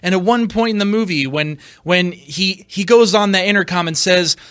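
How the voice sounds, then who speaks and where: A man giving opinion commentary as a podcast host, emphatic and argumentative, often repeating words haltingly and leaning on rhetorical questions. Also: sits high, a bit bright, smooth, no room sound, clean studio sound.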